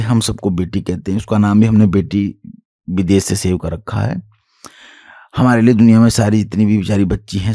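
A low-voiced man with a creaky, husky delivery addresses followers as a guru. He is emphatic, repeats himself and poses rhetorical questions. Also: mellow, no echo, clean studio sound. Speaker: tantric guru. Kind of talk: spoken introduction and explanation to followers.

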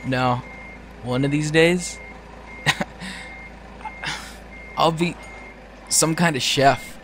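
A man's voice: fairly high pitch, drawn-out words, a bit bright, a little hiss or hum. An actor playing a cocky, naive young man who wisecracks in a casual, goofy, earnest way in an audio drama. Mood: eager.

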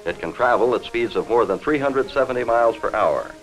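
An American man reading formal, measured, factual scripted narration in the style of a 1950s industrial newsreel, on a vintage film soundtrack. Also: mid-range voice, lively intonation, faint music behind, poor audio.

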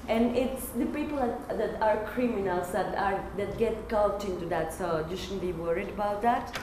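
Young woman from Mexico, student presenter, earnest and anecdotal; far camera, echoey classroom, steady hiss. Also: medium pitch, normal speed. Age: young adult. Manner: earnest, anecdotal, light humor. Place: classroom at a lectern.

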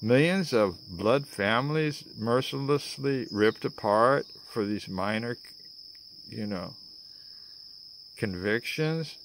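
An American man in his sixties delivers a spoken-word rant at an unhurried, drawn-out pace. His manner is theatrical, full of dramatic pauses and asides, in a medium-pitched voice. It is recorded outdoors, with a faint bed of insect chirps and ambience. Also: lively intonation, slight background noise. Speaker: vlogger. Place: outdoors among greenery.

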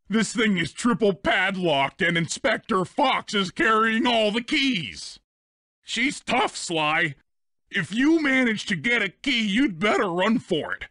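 A man's voice: high-pitched, sharp tone, dry recording, studio quality, full character voice. A man playing a big, dim but loyal cartoon hippo strongman in a video game, boastful and childlike, with bombastic delivery. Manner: bombastic, speaks of himself in third person.

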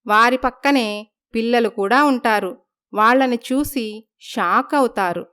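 A woman narrating a scripted family storybook tale at a steady pace. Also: a little high, a little slow, clean tone.

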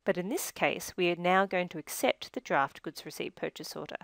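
A woman reading scripted software tutorial narration in a measured, instructional manner, recorded as a close-miked voice-over. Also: fairly low pitch, animated, dry recording.

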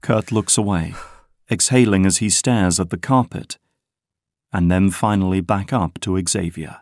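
A man narrates an audio drama from a script. His voice is on the low side, and the recording is dry, with no room sound. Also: unhurried, a little mellow, dry recording.

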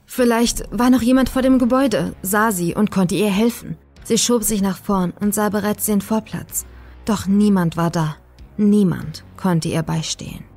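A woman reading a horror story as a scripted, dramatic narration, measured and suspenseful. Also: mid-range voice, a little mellow. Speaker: audiobook narrator. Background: faint atmospheric music.

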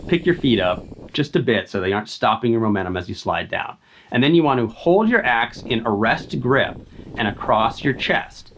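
An American man presents a scripted how-to lesson as an outdoor instructor. His pitch moves up and down in a lively way at a middling height, and he lays out each step methodically. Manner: clear, methodical step-by-step explaining. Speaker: outdoor skills instructor and video host.

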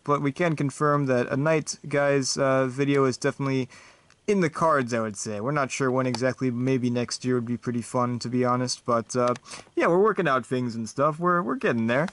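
A man voicing a relaxed knight movie star who rambles with lots of fillers. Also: mid-range voice, natural voice. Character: laid-back knight movie star, male adult.

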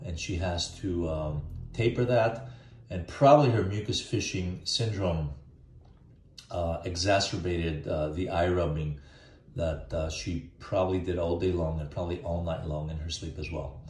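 A man's voice: sits low, reverberant. A man, an eye surgeon talking through a patient case in a loose way with many fillers, filmed on a phone in his clinic office. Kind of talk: informal clinical case video. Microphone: phone camera at arm's length.

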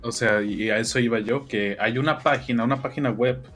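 A man's voice: mid-range voice, a little fast, a bit bright, soft background music. A man in his twenties, a speedrunner guest explaining at length and patiently. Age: 20s. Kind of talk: casual explanation.

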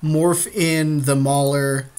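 A man with a somewhat high-pitched voice chats casually as co-host over a card game. He is agreeable, chimes in on the plays and talks loosely, as if among friends. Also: fairly even intonation, takes it slowly, darkish tone, clear, resonant tone. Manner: casual swearing. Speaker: podcast co-host watching and advising on an online card game. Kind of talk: casual chat.

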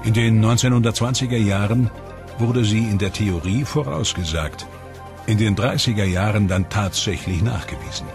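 A man reading scripted documentary voice-over in a measured, grave and dramatic way. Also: fairly low pitch. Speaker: documentary narrator. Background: music under the voice.

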